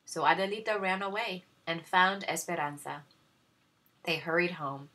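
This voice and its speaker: A woman reading a children's story aloud in expressive narration. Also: fairly low pitch, normal speed. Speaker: story reader.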